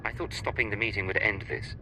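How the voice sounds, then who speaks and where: A hardened, dry-witted agent in a game cutscene. The voice is lowish with a very sharp, forceful edge. He speaks tersely and resolutely, with faint music behind. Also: fairly even intonation, fast, poor audio. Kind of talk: scripted game cutscene acting.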